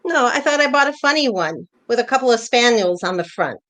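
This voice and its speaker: A woman's voice: a little high, expressive, a little fast, fairly bright tone. A woman acting an exasperated, teasing long-married wife in an amateur comedy play reading, incredulous and needling. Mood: dry, weary.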